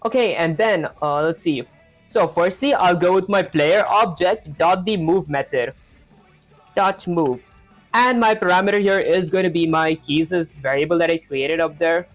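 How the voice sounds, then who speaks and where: A man's voice: fairly high pitch, normal speed, soft background music. A young man live-streaming his programming practice, talking casually and rambling with lots of filler words while he reads chat. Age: young adult.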